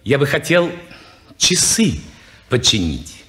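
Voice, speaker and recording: An actor playing an odd, unbalanced man in his forties who talks in a halting, evasive way in an old radio play. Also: mid-range voice, wildly swooping pitch, fairly bright tone, exaggerated voice. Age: 40s. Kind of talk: 1950s radio play acting.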